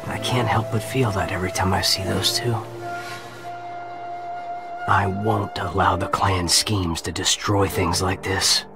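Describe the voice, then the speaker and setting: A man's voice: deep voice, little pitch movement, unhurried, gravelly, music in the background. An actor playing an easygoing, teasing yet resolute young male ninja in an anime dub, casual and earnest. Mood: solemn resolve.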